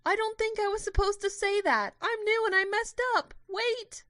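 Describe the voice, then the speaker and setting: A woman voicing a gentle, petite female tour guide who explains things politely to a group, in an audio drama. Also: very high-pitched, moderate pace, no room sound, clean studio sound, pitched above the performer's own voice. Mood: flustered. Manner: explanatory, polite public address.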